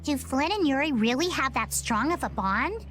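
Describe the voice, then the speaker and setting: High, playful scheming girl. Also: animated, normal speed, a bit bright. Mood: curious. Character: quirky, confident young pirate girl, playful and scheming. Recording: music under the voice.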